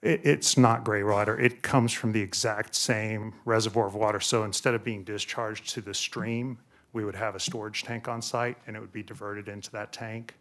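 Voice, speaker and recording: A man's voice: lowish voice, level tone, normal speed, a bit bright. A man answering residents' questions on wastewater permits in a public meeting, firm and technical, correcting misunderstandings. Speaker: engineer presenting at public forum. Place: large courtroom with audience.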